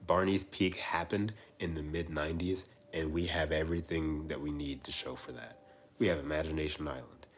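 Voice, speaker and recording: A man in his twenties hosting a casual livestream chat, rambling and repeating himself with soft trailing asides. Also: a bass voice, fairly even intonation, normal speed, a little hiss or hum. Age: 20s. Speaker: livestream host.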